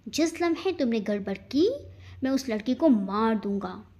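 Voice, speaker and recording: A woman voicing a cool, controlled young man who speaks in measured threats in a romantic thriller story. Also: a little high, expressive, a little mellow. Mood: threatening.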